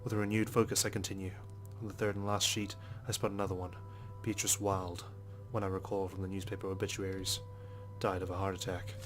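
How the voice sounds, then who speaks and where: An actor playing a dogged, skeptical male police detective in a visual novel game, speaking in a measured, serious, matter-of-fact way over music. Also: sits low, flat delivery, slight background noise, close to an everyday voice. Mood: brooding, resolute. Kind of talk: scripted visual novel narration and dialogue. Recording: music behind the voice.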